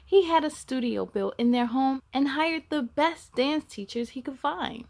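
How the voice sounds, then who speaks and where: High-voiced woman reading a children's book aloud. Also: measured pace, creaky, a little mellow. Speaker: storytime host reading a picture book aloud. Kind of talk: children's read-aloud with asides.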